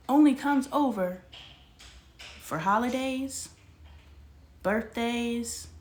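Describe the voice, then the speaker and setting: A woman in her forties hosting a casual livestream, talking straight to her viewers in an animated, slangy way. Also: medium pitch. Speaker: livestream host.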